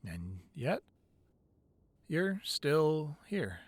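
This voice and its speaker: A man playing a weary but devoted, protective crime boss boyfriend in an intimate ASMR roleplay, speaking softly and close, at times breathy. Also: fairly high pitch, very animated, extremely slow, no room sound, full character voice. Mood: regretful, weary. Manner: soft, intimate, drifting into breathy whispers.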